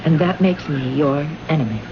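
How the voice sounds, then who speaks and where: A muffled, noisy vintage radio drama recording of an earnest woman diplomat from the future. Her voice swings widely in pitch as she questions, puzzled and emphatic. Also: lively intonation, normal speed. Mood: puzzled, wounded. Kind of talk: old-time radio drama acting.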